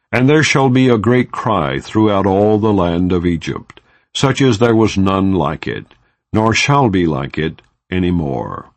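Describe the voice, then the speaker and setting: A man narrating an audiobook of scripture in a solemn, measured, formal manner, without dramatizing the dialogue. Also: low-pitched, darkish tone, no echo. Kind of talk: non-dramatized scripture reading.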